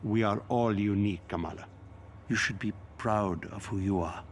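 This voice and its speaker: A man playing a gentle, teasing, devoted middle-aged father in a video game, with a gravelly voice. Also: lowish voice, normal speed, a little hiss or hum, close to an everyday voice. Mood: tender.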